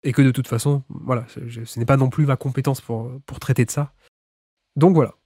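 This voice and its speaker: Enrhumed French podcast host, dry sound. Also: male voice, mid-range voice, steady tone, fairly quick, mellow, slightly rough, no room sound. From France. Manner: chatty, many restarts and little laughs. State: has a cold. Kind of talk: solo podcast introduction.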